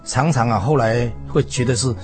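Halting Taiwanese male preacher over music. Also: mid-range voice, fairly even intonation. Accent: Taiwanese Mandarin. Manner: halting, phrase by phrase with many sentence-ending particles. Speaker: preacher, radio guest. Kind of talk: conversational religious commentary. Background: background music.

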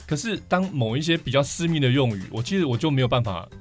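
Male podcast guest, Taiwanese Mandarin accent, mid-pitched, quick and keen explainer, music underneath. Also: lively intonation. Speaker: podcast guest, vinyl collector. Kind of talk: enthusiastic explanatory chat. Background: background music.

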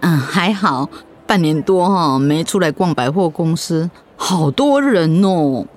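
An actress playing a gentle, hesitant middle-aged mother in a radio drama. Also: low-pitched, animated, unhurried. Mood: hesitant, worried. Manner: gentle, hesitant, conversational with soft fillers.

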